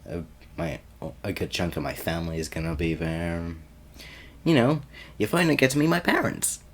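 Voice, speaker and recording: A man playing an easygoing, teasing young guy sweet on his friend, talking casually and haltingly in an audio roleplay. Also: low voice, lively intonation. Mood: teasing, nervous. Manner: halting and stammering, chuckling, casual slangy speech.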